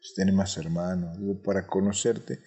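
A man hosting a conversational interview, phrasing his questions in an unhurried, thoughtful way. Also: sits low, fairly even intonation, gravelly, dark tone. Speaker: radio interviewer and host.

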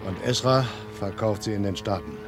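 An actor playing a weary, resigned art forger in a German crime radio play, terse and matter-of-fact. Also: male voice, fairly low pitch, lively intonation, moderate pace, music in the background, natural voice. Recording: vintage radio play, faint engine-like noise.

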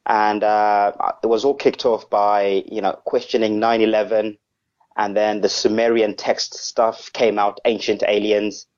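A man's voice: lowish voice, flat delivery, measured pace, darkish tone. Hesitant man on a dull remote line. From Zimbabwe. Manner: hesitant, with many ums and restarts. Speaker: interview guest. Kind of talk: casual interview answers.

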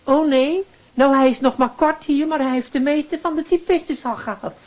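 An actress playing a chatty, excitable and naive office cleaning lady in a radio play. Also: sits high, moderate pace, natural voice. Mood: gossipy, mocking. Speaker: actor. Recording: muffled radio play recording.

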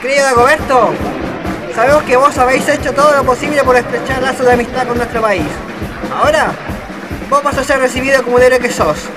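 A solemn official dignitary played by a man in a historical radio play. The voice is very high for a man and formally ceremonial, over music and a crowd. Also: brisk, rough recording. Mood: formal, honoring. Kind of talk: radio drama acting, ceremonial oratory.

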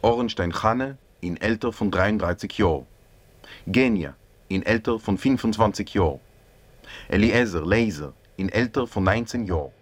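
A male announcer with a fairly low voice. He reads search notices formally, item by item. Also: moderate pace. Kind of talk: formal reading of missing-person notices.

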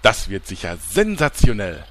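A man's voice: on the low side, wildly swooping pitch, moderate pace, a bit bright. A man playing a smarmy, scheming ex-journalist with grandiose patter in a parody fantasy audio drama. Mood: flattering, grandiose. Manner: grandiose, gushing salesman patter. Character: smarmy, scheming male ex-journalist turned flatterer.

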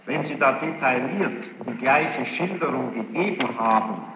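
A man questioning a witness as a presiding judge, formal and probing, choosing his words deliberately with pointed challenges. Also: mid-range voice, expressive. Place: large reverberant courtroom. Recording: muffled, noisy archival courtroom tape, echoing hall.

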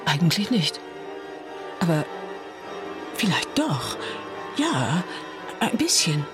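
A woman's voice: on the low side, sing-song, takes it slowly, music in the background. An actress playing an observant, curious woman in a radio play, talking in a conversational, wondering, hesitant way. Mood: hushed, wondering. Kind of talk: German radio play acting.

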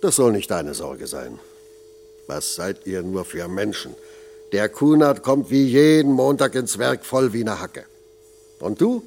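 An actor playing a blunt, patronising middle-aged factory foreman with a gravelly voice in a German radio play. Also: lowish voice, sing-song, measured pace, darkish tone, a little hiss or hum. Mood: gruff, scolding. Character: gruff paternal older factory foreman.

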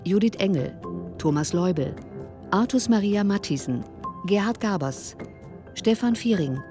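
A woman announcer reading the title and credits of a radio production in a measured way. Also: medium pitch, expressive. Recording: music under the voice.

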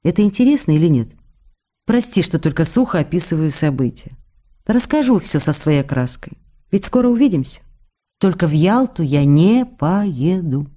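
Lively, playful actress in love. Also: female voice, lowish voice, moderate pace. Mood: warm, chatty. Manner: intimate, coquettish, expressive. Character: affectionate, playful, teasing actress writing to her faraway lover. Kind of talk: radio drama reading of personal letters.

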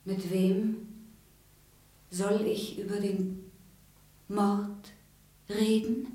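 Widowed grand duchess, radio drama, very slow halting speech, whispery drops, bitter and pleading, devout. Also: female voice, mid-range voice, flat delivery, dark tone, rough recording. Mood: desolate. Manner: halting, breathy delivery dropping into whispers. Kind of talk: German radio play acting.

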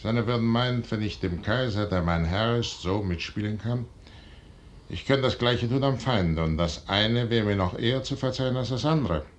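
An actor playing a proud, brooding middle-aged general in a 1950s radio play, declaiming in a weighty, solemn stage manner. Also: male voice, close to an everyday voice. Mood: guarded, probing. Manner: declamatory, weighty, solemn delivery with measured pauses. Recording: noisy 1950s radio recording with rumble.

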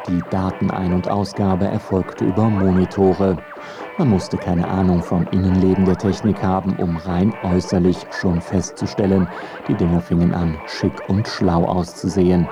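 Low-voiced man narrating over music. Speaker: narrator of a radio feature. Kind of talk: scripted documentary narration. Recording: music behind the voice.

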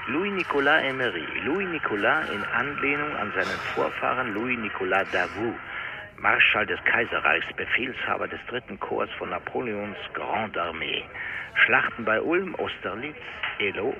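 An actor playing an erudite middle-aged police officer in a radio crime drama, gravelly and lecturing in a pedantic way. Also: male voice, fairly low pitch, lively intonation, moderate pace, background noise. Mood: proudly pedantic.

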